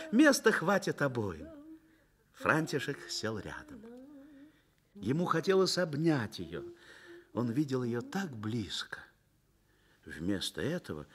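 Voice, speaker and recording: A man narrating a literary radio drama in an expressive, lyrical storytelling manner. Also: mid-range voice.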